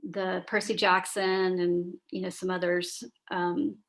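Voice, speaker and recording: A woman's voice: lowish voice, flat delivery, takes it slowly, fairly bright tone. A woman with a tired, scratchy voice, chatting through a screen-share training on a video call, with many fillers and restarts. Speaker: school librarian leading teacher training.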